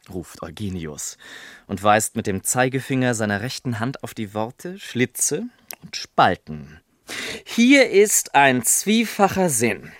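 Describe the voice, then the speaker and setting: An actor playing a witty, pompous gentleman narrator in a literary radio play, speaking in a theatrical, deliberate way. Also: lowish voice, dry recording, studio quality, heavily acted. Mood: wry, smug. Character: witty, pompous gentleman narrator telling his life story.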